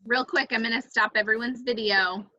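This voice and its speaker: A woman's voice: a little high, fairly even intonation, normal speed, pushed and bright, muffled recording. A woman casually chatting and giving instructions as co-host of a virtual group event.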